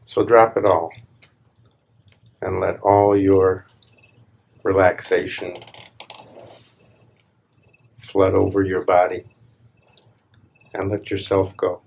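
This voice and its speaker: A man leading a live guided meditation, speaking calmly and deliberately in short phrases with long pauses between them. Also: low-pitched, vocal fry, breathy edge. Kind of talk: live guided meditation, slow hushed instruction.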